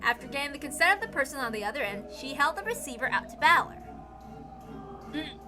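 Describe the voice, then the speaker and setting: Animated, high-voiced woman narrating a story. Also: racing, music in the background. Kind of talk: dramatic narration of a visual novel.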